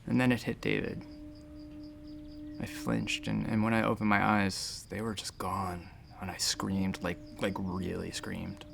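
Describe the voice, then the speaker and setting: An actor playing an anxious, wry space station doctor who rambles and halts in a sci-fi audio drama. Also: male voice, mid-range voice, creaky, background noise, close to an everyday voice. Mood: shaken. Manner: rambling, halting, self-interrupting. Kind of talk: audio drama acting, conversational narration.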